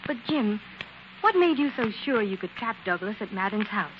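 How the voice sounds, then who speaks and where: Woman playing inquisitive female sleuth's assistant, medium pitch, questioning, dull vintage radio sound. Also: big pitch swings, normal speed, lightly acted. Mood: curious, keen. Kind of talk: 1940s radio acting. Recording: dull, hissy 1940s radio recording.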